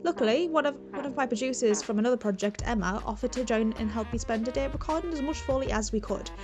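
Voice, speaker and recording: A woman hosts a casual behind-the-scenes vlog in a fairly high voice. She rambles chattily, stopping and restarting her sentences. Soft music sits behind her. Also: distant mic. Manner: rambling, halting, self-interrupting. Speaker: video creator and host. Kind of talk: casual chatty vlog about audio production.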